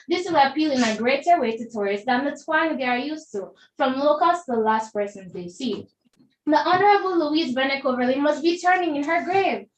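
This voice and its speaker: A young Jamaican woman giving a formal, emphatic debate speech, rhetorically addressing the judges. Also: high voice. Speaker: debater, deputy prime minister speaker. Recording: echoey room.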